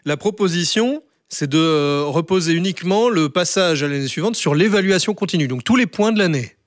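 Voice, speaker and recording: A man hosting a morning radio phone-in, briskly pressing callers with questions. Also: on the high side, animated, dry recording, clean studio sound. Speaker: radio host.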